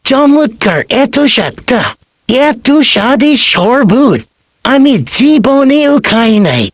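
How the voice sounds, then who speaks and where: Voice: high voice, sing-song, slow pace, heavily acted. A performer voicing a doting, gushing elderly male bridegroom in a comic cartoon, syrupy in manner.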